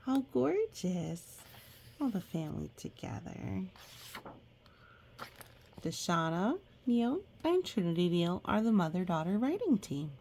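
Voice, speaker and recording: A woman reading a picture book aloud with chatty asides, reacting expressively and explaining things gently as if to young children. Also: fairly low pitch, big pitch swings, slow, gravelly, long pauses, slight background noise.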